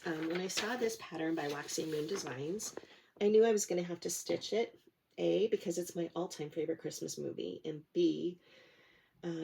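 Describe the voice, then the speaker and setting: A woman's voice: low voice, creaky, slight background noise. A middle-aged woman chatting casually about her cross-stitch projects, a little nasal from a cold, rambling and self-deprecating with plenty of fillers. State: nasal from a cold, sore throat. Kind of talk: casual chat to camera.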